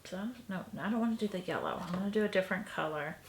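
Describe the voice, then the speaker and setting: Soft-spoken woman, gravelly and sniffly. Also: mid-range voice, expressive, rapid-fire, a little hiss or hum. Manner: soft, musing, often trailing into a half-whisper. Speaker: crafter showing a stitching project. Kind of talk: casual crafting tutorial. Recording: echoey room recording.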